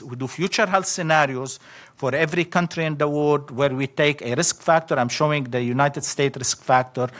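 A middle-aged man giving an academic conference talk in short, halting phrases with frequent fillers. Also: a little high, fairly bright tone. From Lebanon. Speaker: conference speaker. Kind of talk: academic slide presentation.

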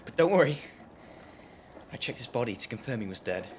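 A man performs an agitated, proud murder suspect in a gothic audio drama. His delivery is theatrical and stammering, with lively swings in pitch. There is faint noise behind the voice. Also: fairly quick, close to an everyday voice. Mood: frenzied, confessing. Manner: stammering, groaning, theatrical outbursts.

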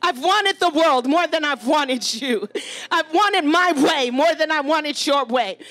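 A woman's voice: high voice, measured pace, a bit bright. A woman preacher leading fervent charismatic prayer, urgent and pleading, exhorting with repeated phrases.

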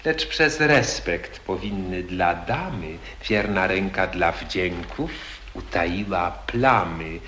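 A man playing a smooth-talking young nobleman suitor. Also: sits low, animated, slow, pushed and bright, a little hiss or hum, full character voice. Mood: wooing. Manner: theatrical, wheedling, declamatory. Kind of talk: 1940s radio acting in verse. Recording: echoey old radio archive.

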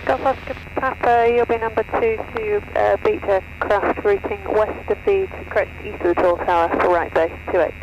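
A man's voice: sits high, fairly even intonation, normal speed, few pauses. A man working as an air traffic controller, giving clipped procedural instructions over the radio. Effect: aircraft radio transmission. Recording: muffled, noisy aviation radio. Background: radio and engine noise.

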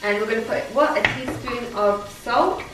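A woman's voice: fairly high pitch, moderate pace, noisy background. A young woman, chatting casually and explaining steps as she cooks in her home kitchen. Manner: chatty, instructive, playful. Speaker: vlogger cooking. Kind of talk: casual cooking vlog. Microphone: camera mic from across the kitchen. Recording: roomy kitchen echo, distant camera, sizzling pan. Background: frying and stirring sounds.

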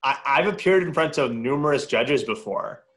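A man's voice: mid-range voice, animated, a bit bright. A young adult man co-hosting a podcast interview, rambling with lots of fillers. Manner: fast, rambling, many fillers. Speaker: podcast co-host.

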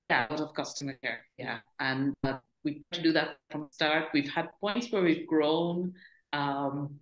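Low-voiced middle-aged woman, tour company founder, relaxed run-on delivery, echoey call audio. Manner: relaxed, loose run-on phrasing. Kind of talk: video call interview. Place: room at home. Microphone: video call. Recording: roomy video call audio.

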